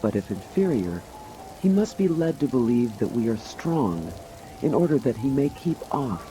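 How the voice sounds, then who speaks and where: A man reading scripted audiobook commentary in a deliberate, expository way. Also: mid-range voice, big pitch swings, mellow, slight background noise, poor audio. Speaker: audiobook narrator. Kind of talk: dramatized scripted narration of commentary. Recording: music and rain behind voice. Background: music and rain sounds.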